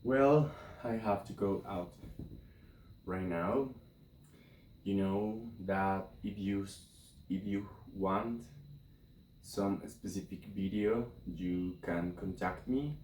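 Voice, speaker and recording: A man from Colombia, a native Spanish speaker, talking casually to his viewers in halting English with a Colombian accent from his bedroom. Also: deep voice, a little mellow, reverberant, recorded from a distance, a little hiss or hum. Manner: halting, informal English with slips. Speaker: video creator. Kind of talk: casual talk to camera. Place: bedroom, indoors.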